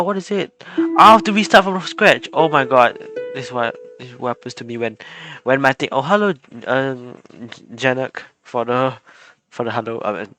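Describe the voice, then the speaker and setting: A man streams a game with prominent music and instrument notes behind him. His medium-pitched voice rambles casually, repeating phrases as he talks to himself and viewers. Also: a bit bright. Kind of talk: casual livestream chat while gaming. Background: video game music and instrument notes.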